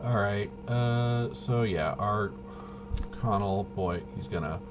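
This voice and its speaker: A fairly low-voiced man talks through a tabletop game at a slow pace. He is casual and halting, muttering to himself as he thinks aloud. There is clear noise behind his voice. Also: lo-fi sound. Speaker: tabletop RPG player and presenter.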